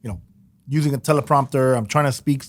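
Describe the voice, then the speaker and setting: A man's voice: medium pitch, fast talker. Cuban-accented man telling a story. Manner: animated, acts out quoted people. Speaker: guest chef. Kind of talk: casual storytelling on a podcast.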